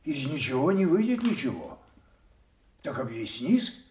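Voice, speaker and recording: An actor playing a proud, imperious old king in a solemn, declamatory classical audio drama, quick to rage. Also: mid-range voice, very animated, darkish tone, roomy sound. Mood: stern, wounded.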